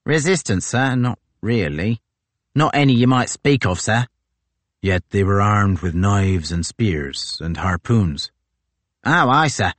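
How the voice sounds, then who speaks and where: Guy doing a big dumb marine sergeant, loud and bragging, rough talk, dry close sound. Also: fairly low pitch, lively intonation, takes it slowly, dry recording. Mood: gloating. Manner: loud, boastful, rough working-class speech. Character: big brash Marine sergeant, gloating and coarse.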